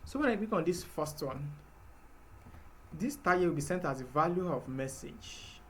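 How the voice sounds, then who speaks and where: A man's voice: fairly high pitch, expressive, moderate pace, a little hiss or hum. A man teaching a live programming class, explaining patiently step by step with fillers. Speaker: programming instructor.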